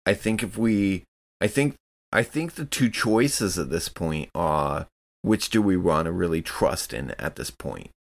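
A man playing a tabletop game, discussing choices casually in an earnest, deliberate way. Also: low-pitched, animated, gravelly.

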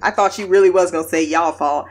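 A woman's voice: lowish voice, quick pace, faint music behind. A woman hosting a casual TV review podcast, blunt, laughing often and swearing freely. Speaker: podcast host.

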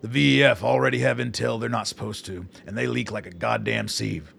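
An actor playing a weary, stubborn army colonel in a radio drama, with a gravelly, raspy voice and blunt, clipped orders. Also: male voice, mid-range voice, steady tone, brisk, a bit bright, a little hiss or hum. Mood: resolved, fed up.

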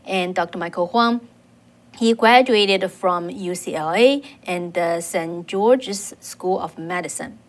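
A woman, hosting and narrating a political segment in a measured way, with frequent fillers. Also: lowish voice. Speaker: interviewer and host. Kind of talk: scripted news commentary.

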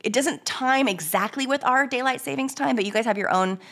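A woman with a hoarse, congested voice from a cold, chatting casually as a podcast co-host and rambling through many restarts. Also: high-pitched, brisk, a bit bright. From United States. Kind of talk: casual chat.

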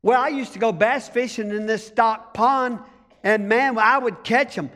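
A man's voice: very high voice, a little slow, a bit bright, in a large hall. A male pastor with an American country accent, preaching a folksy sermon and calling on the congregation to answer back. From rural United States. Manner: folksy, storytelling preacher asking the congregation to respond.

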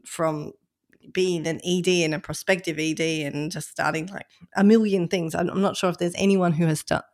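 A woman's voice: on the low side, steady tone, moderate pace, darkish tone. A woman co-hosting a podcast, chatting casually and reflectively about her volunteer role. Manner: chatty, reflective, self-deprecating. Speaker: podcast co-host, run director.

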